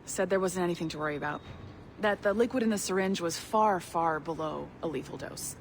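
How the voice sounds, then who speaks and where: A woman's voice: fairly low pitch, brisk, slight background noise, lightly acted. A woman playing a concerned, apologetic colleague in a naturalistic video game cutscene.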